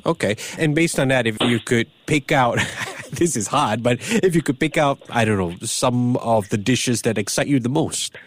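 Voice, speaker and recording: A man's voice: mid-range voice, normal speed, few pauses. A man hosting an interview, asking questions in a conversational way. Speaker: interviewer, host.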